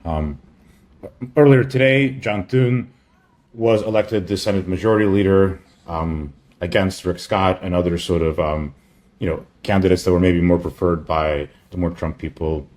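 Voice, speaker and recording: A man giving a casual screen-share product update as a company chief, in a halting, rambling way with offhand asides. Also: low voice, a little mellow.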